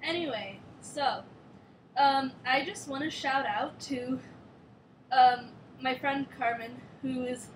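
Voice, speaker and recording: High-voiced young woman vlogging. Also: slow pace, slight background noise, rough recording. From Switzerland. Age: young adult. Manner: rambling, filler-heavy, strained while doing a headstand. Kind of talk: casual chat.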